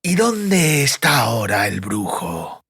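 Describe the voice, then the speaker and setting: A man performs a sadistic male interrogator from a fantasy audiobook. He speaks slowly and deliberately, with lively rises and dips. The manner is coldly menacing, sneering and mocking. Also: mid-range voice, creaky, husky, pitched below the performer's own voice, exaggerated voice. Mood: stern questioning.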